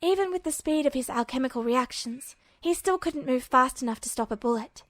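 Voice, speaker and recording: A woman's voice: high voice, lively intonation, fairly quick, dry recording. A woman reading scripted fiction aloud as a narrator. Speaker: audiobook-style narrator of fan fiction.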